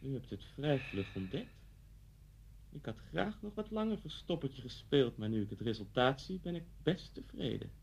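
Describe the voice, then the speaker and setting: A man's voice: medium pitch, big pitch swings, normal speed, gravelly, darkish tone, slight background noise. An actor playing a vengeful, deranged middle-aged man in a radio play, mocking and theatrical as he threatens someone. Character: vengeful, deranged man taunting his old schoolteacher at gunpoint.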